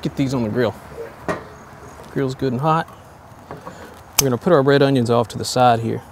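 A man in his forties hosting a home cooking show, explaining each step in a relaxed, easygoing way from his kitchen. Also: medium pitch, normal speed, background noise. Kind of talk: casual cooking demonstration.

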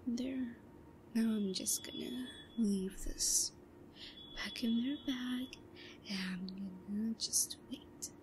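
A woman playing a shy, obsessive, secretly scheming schoolgirl in a roleplay audio drama, muttering to herself in a breathy voice that often drops to a whisper. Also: slight background noise. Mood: furtive, scheming. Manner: hushed muttering to herself, halting. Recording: low-quality recording with faint crowd murmur.

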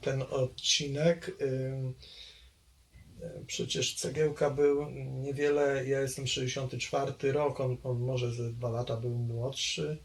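A man in his sixties with a gravelly, creaky voice, speaking at a middle pitch. He reminisces in a hesitant way, pausing on fillers and starting phrases over as he searches for details. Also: little pitch movement. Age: 60s. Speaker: interviewee recalling childhood. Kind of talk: oral history interview.

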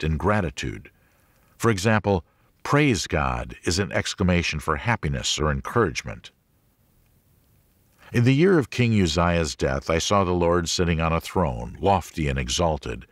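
Deep-voiced male audiobook narrator. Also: no room sound, studio quality. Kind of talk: scripted audiobook narration of a religious teaching book.

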